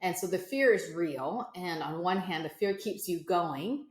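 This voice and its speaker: A woman's voice: fairly low pitch, measured pace, roomy sound. A woman in her forties, a guest entrepreneur, talking reflectively in an interview with frequent fillers and restarts. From United States. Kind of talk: conversational interview.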